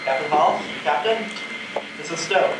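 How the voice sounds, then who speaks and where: A male student actor plays a rocket crewman with a slightly high voice. The stage delivery rings through a very echoey hall, far from the microphone, with faint noise. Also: unhurried, natural voice. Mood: bewildered, lost. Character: male astronaut crewmate, flippant then bewildered. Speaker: actor in a school theater production. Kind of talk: amateur stage play acting. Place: theater stage, picked up from a distance.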